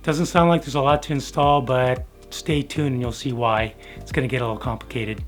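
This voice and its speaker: A middle-aged American man with a slightly hoarse voice, hosting a how-to video in a casual, laid-back, colloquial way. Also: mid-range voice, fairly even intonation, a little fast. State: slightly hoarse from being sick. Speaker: host of a motorcycle build series. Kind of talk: casual how-to narration to viewers. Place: home garage workshop. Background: music.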